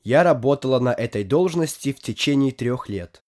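A man reading out translated phrases one at a time for a language lesson, in a careful, measured way. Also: medium pitch, lively intonation, darkish tone. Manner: gives each phrase as a careful spoken translation. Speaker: translation reader.

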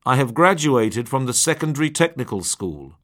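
A man reading English phrases one by one, slowly and distinctly, for a language lesson. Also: mid-range voice, very animated, a bit bright. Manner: reads each word or phrase slowly and distinctly. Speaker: phrase reader.

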